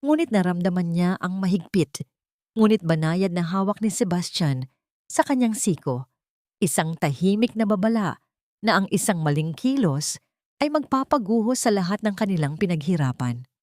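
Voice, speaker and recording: A woman's voice: lowish voice, lively intonation, moderate pace, darkish tone, no room sound, clean studio sound. A woman narrator reading a scripted melodramatic story in a dramatic storytelling style.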